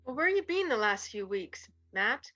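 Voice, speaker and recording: A woman's voice: on the high side, very animated, normal speed, sharp tone, full character voice. A woman playing a knowing, gossipy western saloon woman in a radio drama, casual and pointed. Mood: knowing, teasing. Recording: slightly dull recording, guitar music behind.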